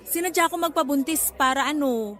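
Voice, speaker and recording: A woman's voice: sits high, fairly quick, a bit bright, faint music behind, some chatter behind. A woman acting a cheeky, manipulative young pregnant girlfriend in a Filipino radio drama, blunt and dramatic, with a Filipino accent. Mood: flippant, pushy.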